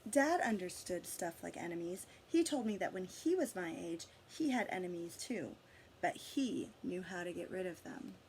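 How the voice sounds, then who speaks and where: A woman reading a picture book aloud to children, with dramatic emphasis, drawn-out words and asides to her listeners. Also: lowish voice, lively intonation, a little mellow, a little hiss or hum. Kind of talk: storybook read-aloud with asides and questions to listeners.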